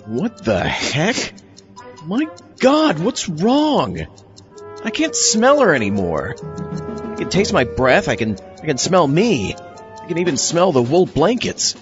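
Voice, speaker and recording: A man's voice: fairly high pitch, wildly swooping pitch, takes it slowly, muffled recording, over music, full character voice. A man playing an anguished, self-doubting husband in an audio drama, stammering and sighing. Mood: distraught. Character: anguished husband losing his senses, frantic and self-doubting.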